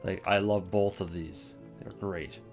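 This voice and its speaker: Casual male commentator: low voice, hesitant, rambling delivery, faint music behind. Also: steady tone, measured pace. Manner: offhand, hesitant, self-interrupting. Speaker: video creator ranking game voice lines.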